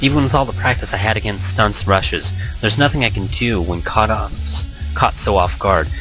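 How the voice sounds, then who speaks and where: A man's voice: fairly low pitch, moderate pace, sharp tone, poor audio. A man reading a visual novel aloud with expression, stumbling over words now and then and rereading them, mixed with casual asides. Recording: background music under the voice. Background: music.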